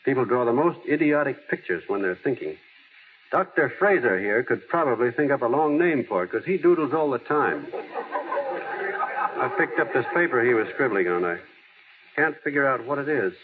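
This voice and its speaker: A man's voice: medium pitch, lively intonation, moderate pace, background noise, close to an everyday voice. Actor as a folksy small-town man, tinny old radio. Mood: matter-of-fact. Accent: American. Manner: folksy, hesitant, plainspoken. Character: earnest small-town everyman, plainspoken, gently wry, heir to a fortune. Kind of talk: 1930s-40s American radio drama acting.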